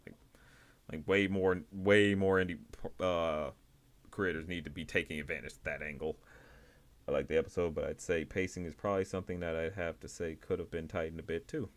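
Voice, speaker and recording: Deep-voiced man chatting on stream. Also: steady tone, fairly quick. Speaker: livestream host reviewing indie animation. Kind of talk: casual rambling live commentary.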